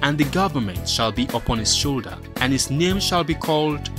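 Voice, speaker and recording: Man as religious drama narrator, solemn, grand, measured, expressive pitch movement, clear background music. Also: lightly acted. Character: solemn storyteller proclaiming a religious message. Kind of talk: scripted radio drama narration.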